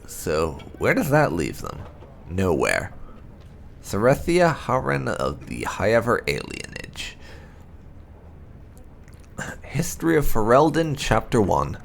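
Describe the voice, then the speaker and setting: A man reading fantasy lore aloud as expressive scripted narration, measured and deliberate with dramatic emphasis. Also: medium pitch, creaky, a little hiss or hum. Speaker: host of a game playthrough channel reading lore entries aloud. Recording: music behind the voice.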